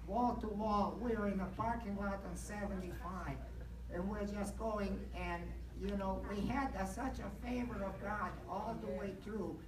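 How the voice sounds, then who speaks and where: Older male, devout churchgoer telling his testimony haltingly, distant pickup with clear background noise. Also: high-pitched. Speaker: church member giving testimony. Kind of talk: personal testimony storytelling. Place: large church sanctuary. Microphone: handheld mic, picked up by distant camera. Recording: distant, echoey, noisy church hall recording.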